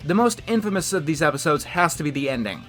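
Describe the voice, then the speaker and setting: A man's voice: a little high, very animated, fast, fairly bright tone, faint music behind. A man narrating a scripted, bluntly critical video essay.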